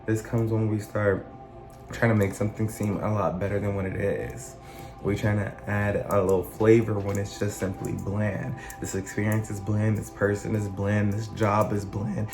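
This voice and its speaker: A man talking in a casual, earnest monologue, his voice still rough from being sick, often circling back and restarting his phrases. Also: lowish voice, level tone, moderate pace, echoey room, music in the background. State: recovering from illness, voice still rough. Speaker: channel host.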